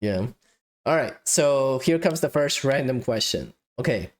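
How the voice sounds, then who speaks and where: A man's voice: mid-range voice, animated, measured pace. A man hosting a casual podcast interview, reading out listener questions in a playful, joking way. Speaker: podcast host.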